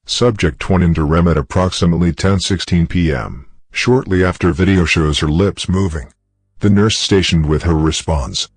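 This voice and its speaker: Very deep-voiced man narrating clinical horror reports. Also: no room sound. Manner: formal, clinical, measured.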